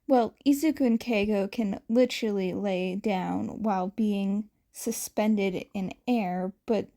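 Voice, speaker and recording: A woman's voice: mid-range voice, slow, mellow. A woman reading a scripted story aloud as narrator. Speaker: fan fiction reader.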